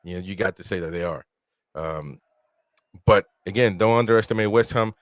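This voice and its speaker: A man's voice: sits low, moderate pace. A Peruvian-born man hosting a casual football watch-along live stream, chatting loosely and reading out viewer comments. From Peru. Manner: laid-back, chatty, reads out viewer comments.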